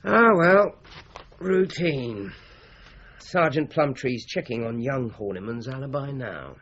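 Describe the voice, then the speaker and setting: A man playing a shrewd, methodical British police inspector in a radio drama, brisk and authoritative. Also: medium pitch, expressive, fairly bright tone. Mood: thoughtful, dogged. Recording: slightly dull radio drama recording.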